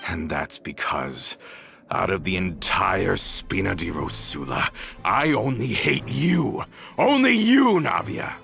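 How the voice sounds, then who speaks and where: An actor playing a bitter, vengeful former mafia member in a video game, with theatrical, accusing delivery that dwells on each phrase. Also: male voice, sits low, sing-song, slow pace, gravelly, a bit bright, faint music behind, exaggerated voice. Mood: cold resentment. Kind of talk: dramatic video game voice acting.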